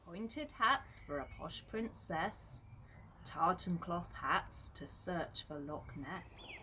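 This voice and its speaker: A woman's voice: fairly low pitch, big pitch swings, slow, pushed and bright, slight background noise, rough recording. A woman reading a rhyming picture book aloud for children. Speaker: storybook reader. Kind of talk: scripted children's rhyme read aloud.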